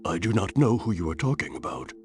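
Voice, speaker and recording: A man's voice: fairly low pitch, lively intonation, fast talker, creaky. A man acting a solemn, cryptic witch doctor and guardian in a video game, with a grave, portentous delivery. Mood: grave, foreboding. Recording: game music behind.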